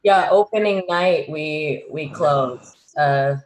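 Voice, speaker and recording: A woman's voice: low-pitched, slow pace. A woman, an actress, chatting informally on a group call, peppering her sentences with "like". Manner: informal, frequent "like". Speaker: stage actress. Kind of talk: casual group chat. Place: at home on a group video call. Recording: echoey room over video call.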